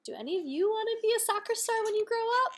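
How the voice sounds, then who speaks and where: A woman reading a storybook aloud to young children, expressively, pausing to put questions to her listeners. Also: very high voice, lively intonation. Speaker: children's read-aloud host, speech-language teacher.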